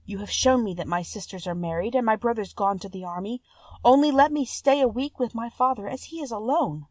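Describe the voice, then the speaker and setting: A woman's voice: fairly high pitch, fairly quick, a little mellow, no room sound, pitched above the performer's own voice, lightly acted. A woman voicing a kind, timid young woman in a fairy tale, with an earnest, polite and formal manner. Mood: pleading. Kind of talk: storybook character voice.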